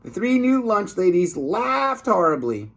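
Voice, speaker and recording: A man reading a storybook aloud to children in an expressive, teacherly way, with asides to his young listeners. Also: high-pitched. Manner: slow, deliberate, teacherly.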